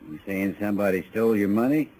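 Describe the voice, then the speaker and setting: A man's voice: lowish voice, lively intonation, fast talker, a little mellow, natural voice. A man playing a menacing small-town Western villain in 1950s radio drama style, with a folksy, goading drawl. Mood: smug, taunting. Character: taunting, menacing male small-town Western outlaw sheriff. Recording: noisy vintage radio drama recording.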